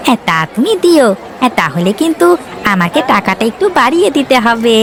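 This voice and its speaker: Woman acting a sly shopkeeper man, very high voice. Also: big pitch swings, a little fast, noisy background. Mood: wheedling. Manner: wheedling, chuckling. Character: sly, greedy male village shopkeeper. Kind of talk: Bangla cartoon voice acting.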